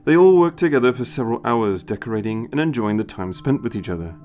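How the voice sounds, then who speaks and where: A man narrating a scripted story in an expressive storytelling style. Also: mid-range voice, fast talker. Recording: music behind the voice. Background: music.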